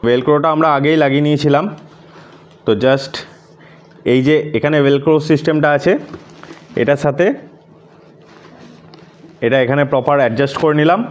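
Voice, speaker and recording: A man's voice: a little high, steady tone, moderate pace, long pauses. A man narrating a casual step-by-step tutorial, explaining things and mixing in English words. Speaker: host of a motorcycle gear tutorial.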